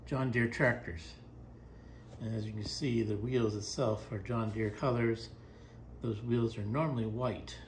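A man casually showing off the boat he modified in his garage, speaking plainly and slowly with frequent fillers, recorded on a handheld phone. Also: mid-range voice, a little hiss or hum. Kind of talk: casual walkaround show-and-tell. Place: indoor home garage.

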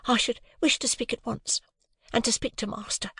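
A woman's voice: on the high side, fairly even intonation, normal speed, a bit bright, slightly rough, no echo, clean studio sound, pitched above the performer's own voice, full character voice. A woman voicing a housemaid in an audiobook, deferential in manner but insistent. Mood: deferential insistence. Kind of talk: audiobook character acting.

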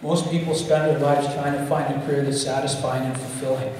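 A man's voice: mid-range voice, little pitch movement, a little fast, darkish tone, a little hiss or hum. Man speaking at a lectern in an echoey hall. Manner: reads a citation, with some stumbles and self-corrections. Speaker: athletic director giving induction remarks. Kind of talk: formal speech read at a lectern. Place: large theatre stage. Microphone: lectern mic on a stand. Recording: echoey hall, mic heard from a distance.

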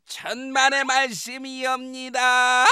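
A woman's voice: high-pitched, completely flat delivery, slow, sharp tone, clean tone, dry recording, clean studio sound, heavily acted. A woman voicing a servile male royal servant who announces in stiff, old-fashioned court speech.

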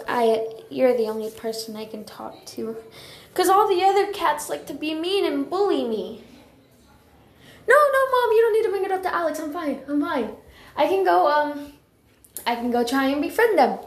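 A woman voicing an earnest, eager young apprentice cat in a childlike, pleading way, as for animated cartoon lines. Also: sits high, reverberant. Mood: hopeful, earnest, then flustered, pleading. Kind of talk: character voice lines for a fan animation.

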